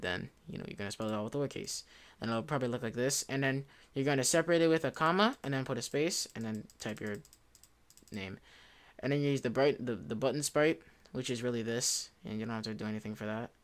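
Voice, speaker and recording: A young man giving a casual software tutorial in an informal, rambling way, often correcting himself. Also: medium pitch, fast. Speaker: tutorial presenter. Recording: music behind, keyboard clicks. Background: music, computer typing and clicks.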